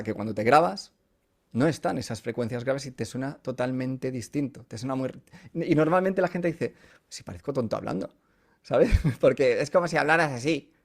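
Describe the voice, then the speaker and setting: A man with a Castilian Spanish accent and an average-pitched voice, speaking as a voice coach in a podcast interview. He explains casually, using everyday examples and stopping to check he is understood. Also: a little mellow. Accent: Spanish from Spain.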